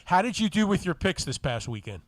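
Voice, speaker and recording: Man, slightly high voice, radio co-host, casual sports chat, dry close sound. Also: sing-song, fairly quick, dry recording.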